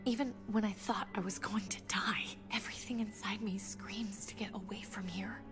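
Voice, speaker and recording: Hushed, anxious woman survivor, played by an actress. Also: medium pitch, steady tone, normal speed, music in the background, natural voice. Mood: scared, pleading. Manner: hushed, often breathy near-whisper, intimate. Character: weary, anxious wife, an injured survivor pleading quietly. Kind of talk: cinematic video game acting.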